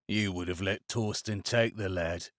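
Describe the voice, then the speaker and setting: A man voicing a calm, steely male companion who speaks tersely and cautions, in an audiobook. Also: low-pitched, a little slow, no room sound, studio quality, voice pushed down. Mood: guarded.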